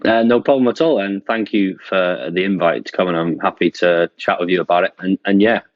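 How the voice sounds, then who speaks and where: A man in his thirties from North Wales, a researcher guest speaking in a relaxed, hesitant way with many ums. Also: low voice, brisk, no room sound. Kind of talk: conversational interview. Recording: dull dry remote audio.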